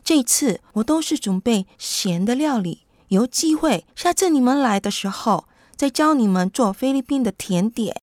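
A woman's voice: fairly high pitch, animated, moderate pace, few pauses, a little mellow, dry recording, studio quality, natural voice. A woman playing a kindly, patient mother who explains things in a children's radio drama. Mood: patient, friendly.

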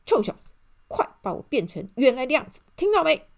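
A woman playing a rude, arrogant rich man in a children's story, gruff, scornful and bellowing. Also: medium pitch, big pitch swings, moderate pace, darkish tone, full character voice. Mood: furious.

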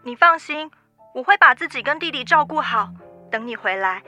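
An actress playing an earnest, protective daughter of twenty in a radio drama. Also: female voice, high voice, animated, normal speed, sharp tone, faint music behind, full character voice. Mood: reassuring. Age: 20s.

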